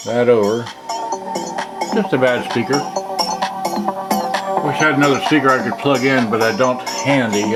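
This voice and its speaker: A casual video host talks through a hands-on product demo without a script. The delivery rambles and drifts into muttering to themselves. Loud music from a radio plays behind the voice. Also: medium pitch. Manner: rambling, muttering to self while handling the device. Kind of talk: casual unscripted product demonstration. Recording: radio playing loudly behind the voice. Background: radio music and ads from the demonstrated player.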